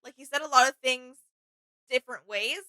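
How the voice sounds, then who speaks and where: A woman's voice: high-pitched, moderate pace, sharp tone, dry recording. A woman co-hosting a reaction video with casual, conversational commentary.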